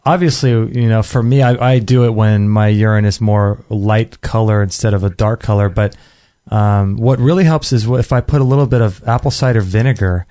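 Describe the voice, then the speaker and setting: Mellow man hosting a casual chat. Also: lowish voice, steady tone, moderate pace, dry recording, studio quality. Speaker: host.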